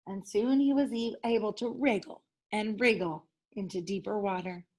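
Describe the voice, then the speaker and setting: A woman's voice: mid-range voice, moderate pace, a little mellow, breathy edge. A woman teacher reading a children's story aloud expressively, leaning dramatically on key words.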